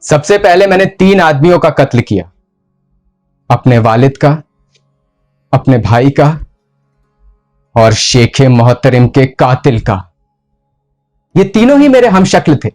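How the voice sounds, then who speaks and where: A male actor playing a ruthless, tormented medieval sultan in a slow, deliberate theatrical monologue with heavy pauses. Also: mid-range voice, very animated, a little mellow, faint music behind. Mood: grim realisation. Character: brooding, ruthless, tormented medieval sultan confessing his murders. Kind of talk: dramatic theatrical Hindustani monologue.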